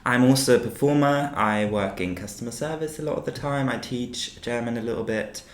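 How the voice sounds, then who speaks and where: A man originally from Austria co-hosts a relaxed chat podcast. He speaks at a middling pitch, pausing often with ums and starting sentences over. His voice bounces around a small, echoey office. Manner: hesitant, with many ums and restarts. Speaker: podcast co-host. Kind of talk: casual chat. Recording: echoey room.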